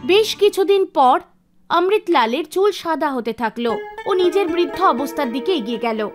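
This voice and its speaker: A woman giving scripted narration for an animated story. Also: high-pitched, moderate pace, faint music behind.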